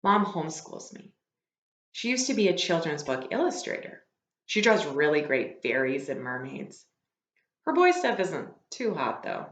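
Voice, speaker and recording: A woman's voice: sits low, big pitch swings, measured pace. A woman teacher reading a book aloud to a class in a conversational, explanatory way. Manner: conversational, explanatory, poses questions to listeners. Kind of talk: read-aloud with asides to students. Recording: roomy echo.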